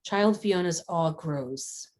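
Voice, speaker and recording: A woman with a low voice reads screenplay stage directions as a narrator. Her delivery is scripted narration, as at a table read of a drama. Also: slow, a little mellow.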